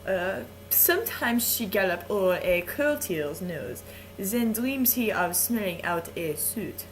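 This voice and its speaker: A woman voicing a vain, flirtatious adult Frenchman who reads verse aloud in a slow, suggestive way. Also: medium pitch, animated, a little hiss or hum, low-quality recording. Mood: sultry. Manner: slow, lingering, suggestive delivery with chuckles. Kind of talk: playful dramatic reading of verse.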